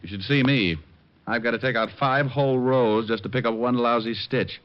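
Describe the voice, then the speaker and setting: A man's voice: mid-range voice, steady tone, normal speed, clean tone, lightly acted. An actor playing a cocky, breezy male private detective in a 1940s radio drama, with glib, wry banter and narration. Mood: teasing. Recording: muffled old radio broadcast recording with music.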